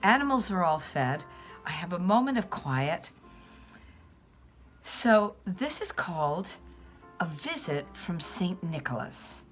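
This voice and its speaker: A woman reading a storybook poem to children in a theatrical manner, often dropping to hushed, breathy tones. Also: sits low.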